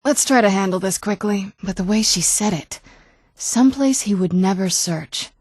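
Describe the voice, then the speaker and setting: A woman voicing a guarded, wounded young vampire noblewoman in a video game, with dry understatement and hesitant pauses. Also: medium pitch, a little mellow, husky, dry recording, close to an everyday voice. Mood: dry, wry. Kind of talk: video game character dialogue. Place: dry studio recording.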